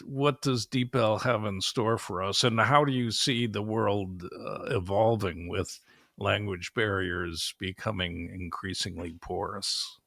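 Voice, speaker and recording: A man hosting a podcast, asking questions in a halting way with many fillers as he searches for words. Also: lowish voice, steady tone, gravelly, a bit bright, dry recording, clean studio sound. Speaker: podcast host. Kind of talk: interview questions.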